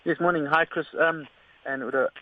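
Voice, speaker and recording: A man's voice: fairly high pitch, fairly even intonation, brisk. A man calling in to a radio show to ask a question. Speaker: radio phone-in caller. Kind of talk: asking a question on air. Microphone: telephone. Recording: dull, noisy phone call.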